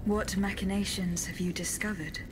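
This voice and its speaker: Woman acting a composed female interrogator, mid-pitched. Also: steady tone, normal speed, background noise, lightly acted. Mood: probing. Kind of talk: dramatic video game cutscene acting. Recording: game music behind the voice.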